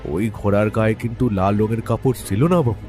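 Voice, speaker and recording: Narrator doing an insistent, talkative carriage driver. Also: male voice, on the low side, brisk, mellow, faint music behind, pitched below the performer's own voice, natural voice. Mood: insistent, explaining. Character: indignant, talkative local male horse-carriage driver. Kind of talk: character voice in audio story.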